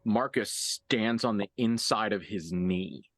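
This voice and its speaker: A man improvising narration as a game master for a horror tabletop game, conversational and halting, with graphic detail. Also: lowish voice, no echo, soft background music.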